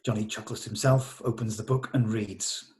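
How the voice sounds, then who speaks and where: A man's voice: on the low side, fairly even intonation, moderate pace, darkish tone. A man giving scripted narration, reading out the stage directions at a script table read.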